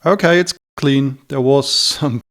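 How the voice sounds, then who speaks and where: A man's voice: medium pitch, a little slow, a bit bright. A man speaking as a customer in a demo support call, giving conversational replies.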